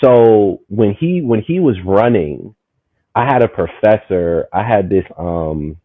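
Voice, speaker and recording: Guest: man, 30s, from Maryland, rambling storyteller, low voice, phone-quality audio, dry. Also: slow pace, no room sound. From Maryland, USA. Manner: animated, rambling, full of "you know what I'm saying". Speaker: guest, community market founder. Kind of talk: casual storytelling conversation.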